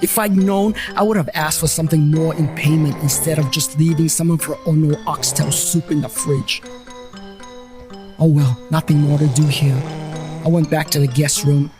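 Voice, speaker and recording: A man's voice: a little high, steady tone, moderate pace, over music. A man reading a scripted first-person story as its storyteller.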